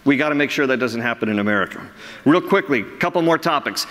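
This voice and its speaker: A man's voice: mid-range voice, lively intonation, fast talker, fairly bright tone. A man preaching an emphatic sermon as pastor, reading quotes aloud from the pulpit. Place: large church sanctuary. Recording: long hall reverb.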